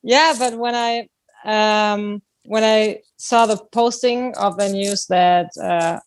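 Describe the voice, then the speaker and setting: A woman with a German accent chatting casually in an interview as a band singer, halting as she searches for words. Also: mid-range voice, dry recording.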